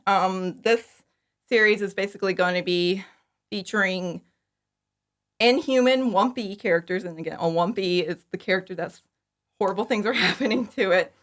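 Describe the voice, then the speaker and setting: A woman in her thirties chatting casually as an interview guest, enthusiastic, informal and giggly. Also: medium pitch. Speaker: podcast guest, fan audio drama creator. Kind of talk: casual chat, interview answers.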